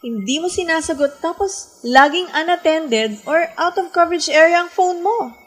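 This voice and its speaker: A woman's voice: very high voice, lively intonation, normal speed, fairly bright tone. An actress with a Filipino accent playing a lovelorn young woman in a radio drama, over a music bed. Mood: hurt, jealous. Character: lovelorn young woman narrating her heartbreak, jealous girlfriend.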